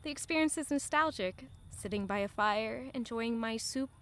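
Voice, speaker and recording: A woman's voice: fairly high pitch, normal speed, fairly bright tone. An actress playing a kind, earnest royal woman in a reflective audio drama. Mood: reflective, content.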